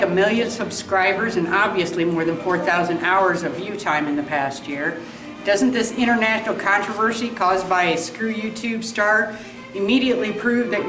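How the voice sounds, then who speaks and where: Indignant female creator, acted by a woman, mid-pitched, confrontational questioner in a comedy sketch, roomy echo. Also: natural voice. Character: indignant female video creator challenging an official.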